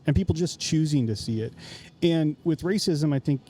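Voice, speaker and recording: Fifty-ish guy hosting a podcast, mellow warm voice, kinda high, trips over his words a lot. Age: 50s. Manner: self-deprecating, halting with restarts. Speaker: podcast host. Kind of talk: casual interview chat. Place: coffee shop.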